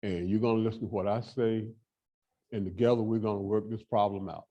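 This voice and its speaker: A man's voice: lowish voice, steady tone, fairly quick, highs cut off. A man presenting at a fair housing workshop, giving direct, emphatic advice to staff and repeating his key points. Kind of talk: training talk to housing staff.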